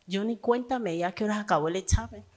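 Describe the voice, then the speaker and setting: A woman's voice: medium pitch, fast talker, darkish tone. A woman pastor giving a sermon, telling a personal testimony in a conversational way and building to fervent preaching. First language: Spanish.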